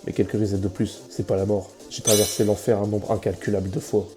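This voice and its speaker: A man playing a weary, cold, nonchalant male monster in a fan comic dub, with a deadpan, casually menacing delivery. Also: lowish voice, fast, music in the background, natural voice. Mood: weary, indifferent.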